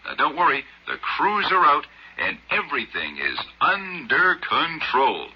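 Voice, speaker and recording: A radio newsreader with reassuring, folksy patter. His fairly high voice moves in lively swings, heard through a muffled broadcast with faint noise. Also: unhurried, breathy edge, heavily acted. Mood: chatty, reassuring. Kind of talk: radio news broadcast acting.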